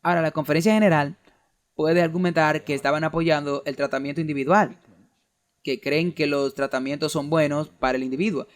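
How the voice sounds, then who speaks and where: A man's voice: fairly high pitch, fairly even intonation, a little fast. A man giving a sermon-style lecture in an earnest, argumentative way, reading out quoted documents as he makes his case. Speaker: preacher giving a talk.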